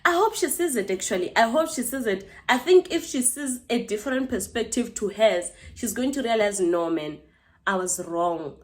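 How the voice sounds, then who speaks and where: A woman's voice: on the high side, lively intonation, moderate pace. A woman in her twenties with a South African accent, telling a personal story casually to camera as a vlogger, chatty and full of asides to her viewers. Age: 20s.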